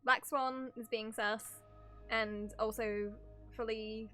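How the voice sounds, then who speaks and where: A woman's voice: on the high side, fairly even intonation, slow pace, pushed and bright. A woman livestreamer with a giggly, playful manner, chatting casually and reading game story aloud as she reacts. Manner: giggly, playful, easily sidetracked. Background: game music.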